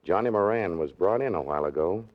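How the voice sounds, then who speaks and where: A confident district attorney in an old 1940s radio play. He speaks briskly and officially in a lowish voice. The sound is dull and dated. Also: animated. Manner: brisk, matter-of-fact, official.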